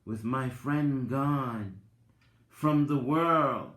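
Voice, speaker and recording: A man giving a dramatic reading, theatrical and deliberate, with drawn-out phrases and long pauses. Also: medium pitch, a bit bright. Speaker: reader and commentator, presenter of the recording. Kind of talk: dramatic reading with informal asides. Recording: roomy, echoing sound.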